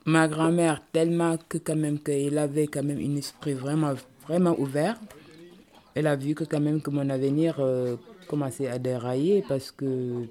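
A woman's voice: rumbling low, dark tone. Hesitant woman telling her story. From Senegal. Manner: halting, with many hesitations. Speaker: interviewee. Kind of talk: personal life story.

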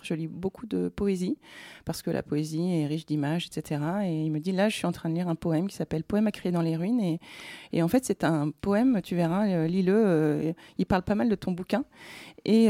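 A woman being interviewed as a novelist, telling their story conversationally in a hesitant, halting way. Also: on the low side, gravelly, dark tone, no echo, studio quality. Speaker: novelist interviewed about their first book.

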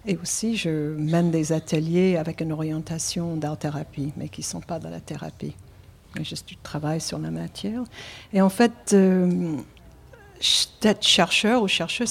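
Husky, creaky-voiced woman. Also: on the low side, steady tone, a little slow. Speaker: artist, calligrapher, panel guest. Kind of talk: reflective panel talk.

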